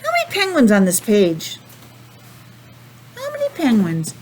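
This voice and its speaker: Animated woman reading to young children. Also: a little high, measured pace, a little hiss or hum. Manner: animated, addressing small children with simple questions. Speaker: teacher reading a picture book to young children. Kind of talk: storybook read-aloud with chatty asides and questions.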